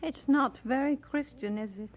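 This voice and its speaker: Woman acting a poised lady, fairly high-pitched, gently teasing, radio-play drama. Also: moderate pace, a little hiss or hum, close to an everyday voice. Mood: polite, concerned. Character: poised, gently teasing women: church secretary, pastor's bride, narrator. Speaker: actress in an audio drama.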